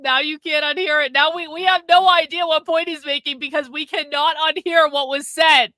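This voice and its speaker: A woman's voice: high voice, fairly even intonation, moderate pace, pushed and bright, no echo. A woman hosting a livestream, reacting to a clip with joking, incredulous banter. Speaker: livestream host. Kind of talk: casual reaction chat.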